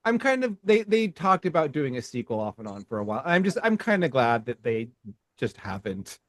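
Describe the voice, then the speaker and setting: A man co-hosting a podcast in casual chat, animated and laughing often, restarting his sentences as he talks. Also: mid-range voice, brisk, no room sound, studio quality. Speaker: podcast co-host.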